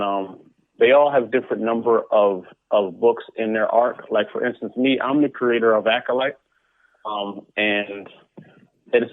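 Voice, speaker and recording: Low-voiced man on a tinny phone line. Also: dry recording. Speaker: interview guest, comic book creator. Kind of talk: casual conversational interview.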